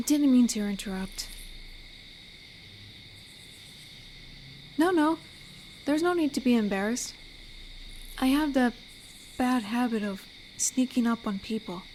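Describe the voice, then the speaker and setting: A woman's voice: a little high, a little mellow, a little hiss or hum, rough recording. A woman playing an amiable, teasing werewolf who protects a forest, speaking in an intimate, conversational roleplay drama. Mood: friendly, apologetic. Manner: unhurried, teasing, conversational with pauses. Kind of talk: roleplay audio drama, intimate one-to-one address.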